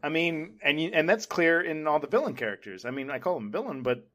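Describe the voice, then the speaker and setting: A man's voice: fairly high pitch, animated, fairly quick, bright, forceful tone. A man co-hosting a podcast, chatting casually and enthusiastically about pop culture in a rambling way. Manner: rambling, enthusiastic fan talk. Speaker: podcast co-host.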